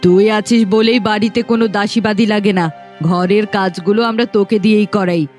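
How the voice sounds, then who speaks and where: A woman's voice: medium pitch, steady tone, a little fast, darkish tone, soft background music, natural voice. A woman voicing a cruel, bossy middle-aged village stepmother in a cartoon, scornful and scolding. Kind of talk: cartoon voice acting.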